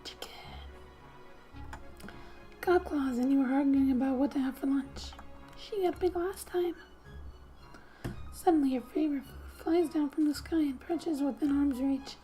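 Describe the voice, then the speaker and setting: A soft-spoken woman doing ASMR gaming commentary, gently reading story text aloud and often dropping to a whisper, in an unhurried way. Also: high voice, low-quality recording. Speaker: video game streamer. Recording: quiet background music. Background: soft music.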